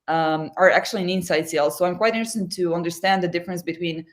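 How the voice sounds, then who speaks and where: A man's voice: sits high, fast. A man with a Serbian accent hosting a live webinar on a video call, speaking hesitantly with many fillers. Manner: hesitant, lots of uh and obviously. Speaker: webinar host. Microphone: video call mic.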